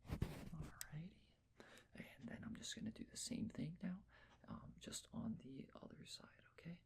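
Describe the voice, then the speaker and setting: Breathy whispering ASMR roleplayer. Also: medium pitch, animated, normal speed. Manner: whispered, gentle, reassuring step-by-step instructions. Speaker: ASMR performer playing a measurer taking face and body measurements. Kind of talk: ASMR roleplay, soft-spoken personal attention.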